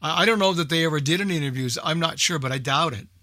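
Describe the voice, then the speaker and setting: Man, radio host, forceful bright voice, slightly high pitch, dry close sound, casual halting talk. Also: no echo.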